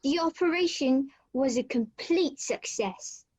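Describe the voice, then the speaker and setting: A woman's voice: sits high, measured pace, darkish tone, no room sound. A woman playing a relieved, recovered patient in an amateur radio play. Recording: dull, dry lo-fi home recording.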